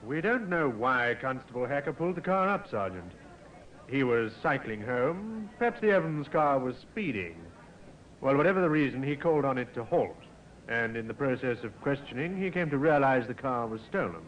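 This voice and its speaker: An actor playing a methodical British police inspector, measured and dryly authoritative, in a 1950s radio drama. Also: male voice, mid-range voice, very animated, a bit bright. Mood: deliberate, analytical. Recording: muffled, hissy 1950s radio recording.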